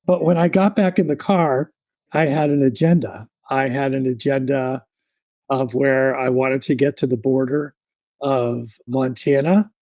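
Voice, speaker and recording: Relaxed guy on a podcast, warm low-key voice, lots of "you know" and "like", dry room. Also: unhurried, dry recording. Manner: relaxed, reflective, rambling with many fillers. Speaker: podcast guest. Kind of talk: storytelling in a podcast interview.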